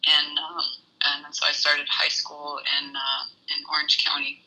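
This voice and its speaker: A woman in her thirties from Saudi Arabia, an engineer talking through her story in a casual interview, hesitant with many pauses. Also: low voice, monotone, bright, forceful tone, slightly rough, poor audio. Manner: thoughtful, hesitant with many ums. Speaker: guest, engineer.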